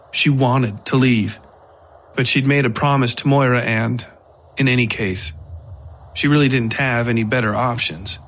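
A man reading a scripted horror story as its narrator. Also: mid-range voice. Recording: music behind the voice. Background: music.

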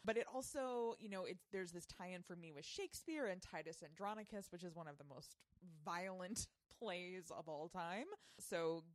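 A woman's voice: on the low side, lively intonation, normal speed, no room sound. A woman hosting a podcast, giving casual, chatty commentary. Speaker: podcast host.

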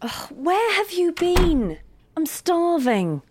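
A woman's voice: very high-pitched, big pitch swings, takes it slowly, background noise, full character voice. A woman playing an insistent, sardonic and playful girlfriend in an audio drama. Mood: playful, pleased. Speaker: actress in audio fiction. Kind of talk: serialized audio drama acting.

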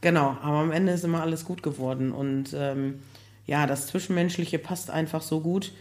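Hoarse, chatty woman with fillers. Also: deep voice, steady tone, moderate pace, darkish tone. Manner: chatty, laughs often, many fillers. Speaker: guest, building project manager. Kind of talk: casual podcast chat.

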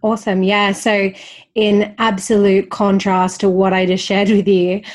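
A woman's voice: mid-range voice, level tone, unhurried, darkish tone. A woman in her thirties with an Australian accent worn down by life in London, a confidence coach chatting openly as a podcast guest while getting over a slight cold. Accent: Australian, softened by years in London. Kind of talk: casual interview chat.

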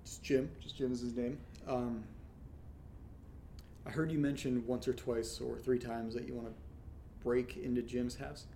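A man's voice: mid-range voice, level tone, unhurried, long pauses, mellow, slight background noise, close to an everyday voice. A man acting in a horror audio drama as an awkward, reserved friend, with a hesitant, understated, dryly questioning delivery.

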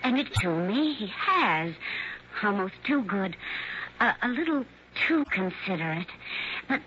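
An actress playing an uneasy young wife in her twenties in 1960s radio drama, speaking haltingly. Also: medium pitch, big pitch swings. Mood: apprehensive. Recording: dull, hissy vintage radio recording.

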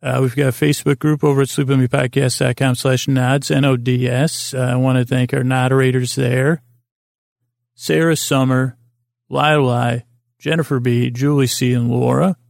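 Gravelly guy doing a soothing bedtime podcast, rambles and repeats himself, very dry close sound. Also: mid-range voice, dry recording. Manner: lulling, soothing, calm; hesitant, halting, circles back and repeats himself. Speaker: host of a sleep podcast. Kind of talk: meandering, rambling bedtime monologue.

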